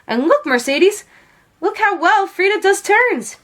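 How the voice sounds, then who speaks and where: Cheerful, encouraging storybook sister, acted by a woman, high-pitched, eager, highly animated. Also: measured pace, heavily acted. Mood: delighted.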